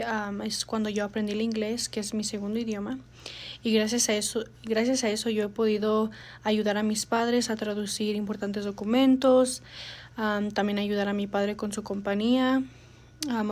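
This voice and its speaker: Young woman reading a little speech, pretty flat delivery, lots of ums and pauses. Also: mid-range voice. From United States. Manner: measured, with frequent pauses and fillers. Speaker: student speaker. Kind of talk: prepared personal statement.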